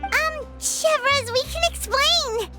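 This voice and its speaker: A woman voicing a tiny, childlike, eager fairy companion in a video game, squeaky and exclaiming. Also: slow. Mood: flustered. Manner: refers to self in third person, exclaiming. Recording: music playing behind the voice.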